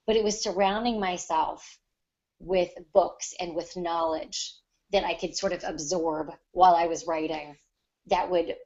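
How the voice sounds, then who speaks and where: A woman's voice: lowish voice, darkish tone, highs cut off. A woman writer on a podcast panel, explaining her craft conversationally and in detail with examples.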